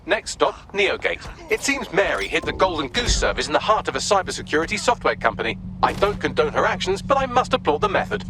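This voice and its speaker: An actor playing a witty, smug AI assistant in a video game, glib and dryly sarcastic. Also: male voice, high-pitched, very animated, fast, sharp tone, a little hiss or hum, full character voice. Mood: dryly amused.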